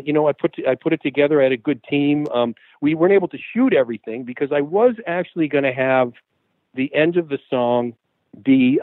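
A man talks over a thin, tinny phone line, mid-pitched and unhurried. He rambles through his answers with many restarts and fillers, like a relaxed interview guest. The line is dry, with no room sound around him. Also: no echo. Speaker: guest musician, guitarist. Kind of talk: casual interview talk.

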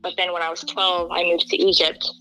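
A woman's voice: fairly low pitch, a little fast, soft background music, low-quality recording. A young woman from Kenya as an interview guest, speaking in an earnest, emphatic way. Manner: earnest, emphatic, animated when quoting others. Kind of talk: candid interview talk.

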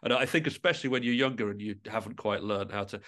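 A middle-aged man with a fairly low voice talks as a guest in a podcast interview. He thinks as he goes, often pausing and starting a phrase again in a conversational, reflective way. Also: fairly bright tone. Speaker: guest musician, composer and writer.

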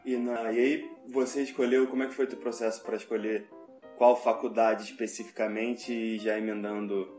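A man's voice: fairly low pitch, flat delivery, normal speed, a little mellow, faint music behind. A man hosting a casual podcast, introducing the episode and asking easygoing questions with short acknowledgements. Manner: friendly, brief acknowledgements. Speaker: podcast host.

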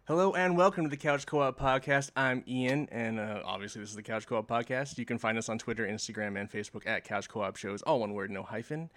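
A man's voice: mid-range voice, a little fast, few pauses, fairly bright tone. A man hosting a casual video game podcast, asking friendly, rambling questions with plenty of fillers. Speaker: podcast host.

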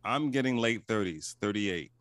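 A man's voice: fairly low pitch, animated, moderate pace, a bit bright, no room sound. Brief: man in his 40s, candid and laid-back, close dry recording. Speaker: conversation partner. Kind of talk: casual chat.

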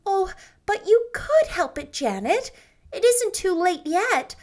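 A woman reading aloud puts on a spirited young heroine with a bright, high voice that lifts well above her narrating tone. She presses her points with urgent emphasis, every line leaning forward. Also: expressive, a little slow, full character voice. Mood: urging. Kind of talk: storybook reading.

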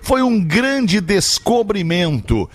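A high-pitched man, hosting a loose comedy podcast. He reads listener messages slowly and deliberately, then jumps back into quick banter with his co-hosts, sometimes breaking into loud laughing shouts. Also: sing-song. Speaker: podcast host reading listener mail.

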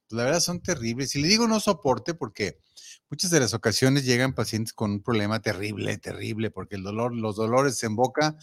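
Un dentista con acento mexicano que conduce un programa de radio y habla con el oyente de forma informal, con muchas frases repetidas y preguntas sueltas. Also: male voice, mid-range voice. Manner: chatty, rambling, many restarts and asides to the listener. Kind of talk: casual radio talk.

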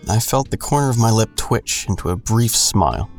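A wry grown son played by a male actor tells his own story in an audio drama. His voice sits fairly low and his delivery is deadpan and colloquial, with a sardonic edge. Music plays behind him. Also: moderate pace, natural voice. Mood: wry, reflective. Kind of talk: first-person audio drama narration with dialogue.

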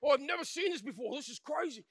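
Preacher doing a stunned safari guide, rough mock South African accent, dry close sound. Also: male voice, extremely high pitch, lively intonation, quick pace, fairly bright tone, dry recording, voice pushed up, full character voice. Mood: amazed. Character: awestruck male safari guide, exclaiming.

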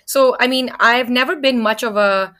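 A woman's voice: a little high, moderate pace, sharp tone. Casual-talking woman influencer. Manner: casual, conversational. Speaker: fashion influencer. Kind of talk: interview answer.

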